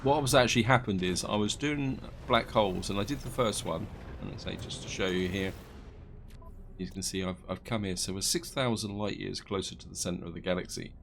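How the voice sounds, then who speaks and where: Low gravelly man narrating gameplay. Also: fairly quick, fairly bright tone, slight background noise. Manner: rambling, thinking aloud, self-correcting. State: slightly croaky from allergies. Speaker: gaming video host. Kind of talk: casual gameplay commentary.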